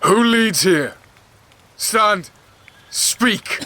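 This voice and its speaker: A man's voice: high voice, expressive, drawn-out words, pushed and bright, exaggerated voice. An actor playing a weary, grieving, commanding king in a fantasy audio drama. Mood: commanding, angry.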